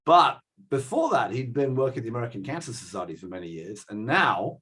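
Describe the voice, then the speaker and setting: A man hosting a panel, his voice husky and his delivery quick. He keeps things loose with chuckles and quick asides. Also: mid-range voice, very animated, a bit bright. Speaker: host.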